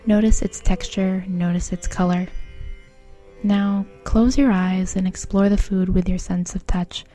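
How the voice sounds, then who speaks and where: A woman speaking gently and in a measured way as a mindfulness guide and interviewer. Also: medium pitch, steady tone, faint music behind. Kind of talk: guided mindful eating exercise, then soft interview.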